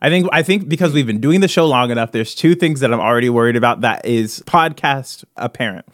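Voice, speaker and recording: A man co-hosting a casual comedy podcast, riffing excitably in a halting way. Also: mid-range voice, dry recording, studio quality. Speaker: podcast co-host.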